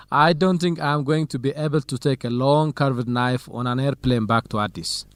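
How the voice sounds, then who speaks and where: A man's voice: mid-range voice, measured pace, dry recording, lightly acted. A man playing a cautious, good-natured young graduate student in an educational radio drama. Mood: relaxed, friendly.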